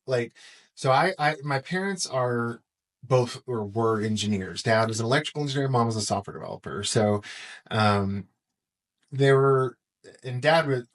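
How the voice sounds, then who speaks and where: A middle-aged American man from Texas talking casually as a podcast guest in an interview. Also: medium pitch. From Texas, United States.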